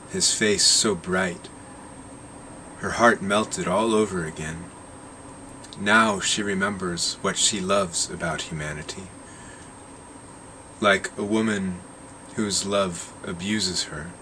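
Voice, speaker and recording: A man reading a science fiction short story aloud in a measured, deliberate way. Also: low-pitched, bright, forceful tone, a little hiss or hum, low-quality recording. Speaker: narrator reading his own short story.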